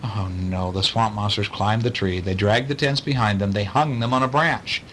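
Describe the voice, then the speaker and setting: A man's voice: lowish voice, steady tone, moderate pace, a bit bright, slight background noise. A man reading a bedtime story aloud to children, with chatty asides as the storyteller.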